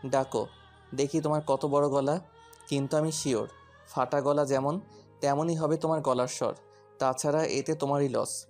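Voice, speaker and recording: A man's voice: a little high, steady tone, moderate pace, pauses often, darkish tone, natural voice. An actor playing a brash, possessive young man in a romantic audio story, taunting, stubborn and commanding. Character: brash, possessive young man, often drunk, teasing and menacing. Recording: music bed behind the voice.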